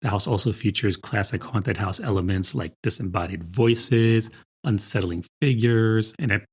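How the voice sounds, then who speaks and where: A man's voice: on the low side, measured pace, breathy edge. A middle-aged man from New Jersey with an American accent, co-hosting a podcast in a casual, chatty, informal way. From New Jersey, United States. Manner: informal, chatty, peppered with rhetorical "right?" and "I mean". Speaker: podcast co-host. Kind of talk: casual conversational book chat.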